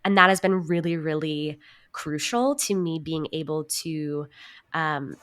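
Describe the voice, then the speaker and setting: Young woman, slightly low voice, earnest. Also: measured pace, a bit bright. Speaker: podcast guest, actor and marketing strategist. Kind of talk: casual interview conversation.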